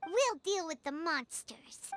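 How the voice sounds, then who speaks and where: A small childlike sidekick in a video game. The voice is tiny and piercingly high, caring and earnest, fairly slow and very melodic, with loud music behind it. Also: female voice, lively intonation, full character voice. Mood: concerned, reassuring.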